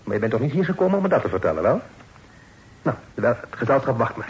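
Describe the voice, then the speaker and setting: An actor in a radio play voicing an upright, respectable burgher with a proper, reproachful manner. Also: male voice, medium pitch, very animated, fast talker, slight background noise, lightly acted, low-quality recording. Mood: stern.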